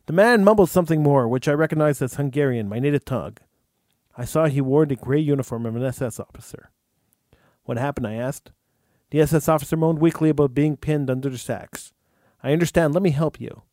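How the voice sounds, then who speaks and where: A man, the host, reading a true story aloud in an earnest, measured way, with an occasional stumble over words. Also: a little high, darkish tone, dry recording, clean studio sound. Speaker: radio host.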